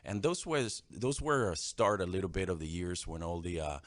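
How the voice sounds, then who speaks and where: A middle-aged man from Venezuela with a very low, deep voice talks casually as a surgeon guest. He pauses and restarts often and leans on fillers. The sound is dry and close. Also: no room sound. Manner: halting, many fillers and restarts. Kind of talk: casual interview answers.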